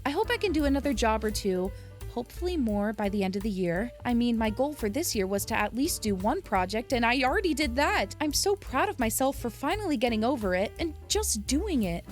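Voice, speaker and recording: A woman narrating a vlog in an enthusiastic, earnest way. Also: a little high. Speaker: aspiring voice actor, content creator.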